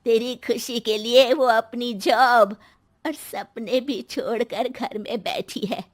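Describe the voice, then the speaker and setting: Woman doing a high-pitched, scolding old grandmother. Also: steady tone. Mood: firm advice. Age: older. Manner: sharp, chiding, drawn-out delivery. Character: stern, scolding old grandmother ruling her family. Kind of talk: animated moral story acting.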